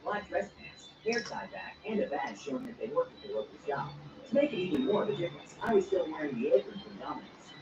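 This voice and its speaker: A synthetic text-to-speech voice reads stories quickly, spelling out abbreviations as it goes. Its pitch jumps around a lot. It plays from a device across an echoey room, dull and noisy. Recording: distant echoey speaker audio, dull and noisy.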